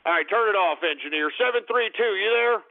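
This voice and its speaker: A male radio host taking calls on a call-in show, ranting and shouting exclamations. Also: sits high, animated, fast talker, gravelly. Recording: lo-fi narrow-band broadcast with faint music.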